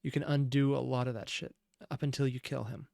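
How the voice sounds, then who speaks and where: A man's voice: medium pitch, level tone, creaky, mellow, no echo, clean studio sound. A man hosting a reaction video, giving earnest, analytical commentary in a reflective way. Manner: earnest, analytical, deliberate emphasis. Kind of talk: reflective commentary on a fan dub.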